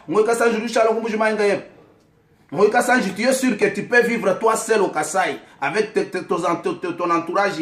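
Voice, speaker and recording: A man from Kasaï in DR Congo with a Congolese French accent, whose first language is Tshiluba, giving an impassioned political address to camera and calling people out by name. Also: high voice. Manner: fiery, accusatory, calls out names directly. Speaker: vlogger addressing his community. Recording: echoey room.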